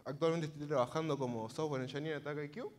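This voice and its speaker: The young Argentine guy on stage at a tech meetup, telling his story casually in a lightish, higher voice that rings around the big auditorium. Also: steady tone, quick pace, a little hiss or hum. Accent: Argentine Spanish. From Córdoba province, Argentina. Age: 20s. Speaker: conference speaker, software engineer. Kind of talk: informal conference presentation. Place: large auditorium stage, indoors. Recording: reverberant hall sound from a stage.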